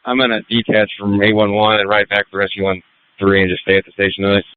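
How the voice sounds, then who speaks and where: A man's voice: on the low side, completely flat delivery, fast talker, slight background noise. A man working as an emergency medical responder, giving clipped, procedural status reports over a two-way radio. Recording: tinny narrow-band radio channel.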